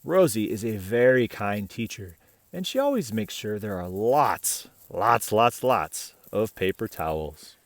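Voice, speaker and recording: A man reading a children's picture book aloud in an animated, playful way, chatting with and questioning the listener. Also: on the low side, unhurried, dry recording. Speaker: picture-book reader, host.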